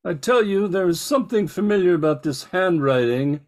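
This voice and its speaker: Male actor as pompous, fussy rich uncle, high-pitched voice, old-fashioned radio-play delivery. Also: lively intonation, normal speed, a little mellow. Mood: puzzled, indignant. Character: stuffy, indignant old-fashioned wealthy uncle. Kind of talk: old-time radio drama acting.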